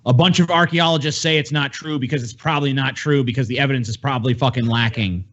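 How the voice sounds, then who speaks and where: A man's voice: mid-range voice, quick pace, a bit bright, dull audio. A man co-hosting a podcast with casual, blunt, profane and exasperated reaction commentary. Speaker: podcast co-host.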